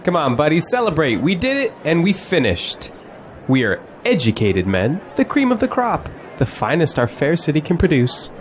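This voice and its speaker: A man acts as a cocky, upbeat young male graduate in a radio play. His pitch swings around in a lively way, with faint noise behind him. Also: moderate pace. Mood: exuberant. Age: young adult. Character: cocky, exuberant young male college graduate, polite to elders. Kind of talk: audio drama acting.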